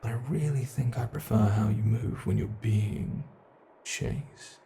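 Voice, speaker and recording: A man's voice: on the low side, gravelly, mellow, slight background noise. A man with a British accent playing a mocking male monster who hunts a human, in an ASMR horror roleplay. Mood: teasing. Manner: mocking, drawn-out coaxing, impatient. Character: teasing predatory male monster hunting a human.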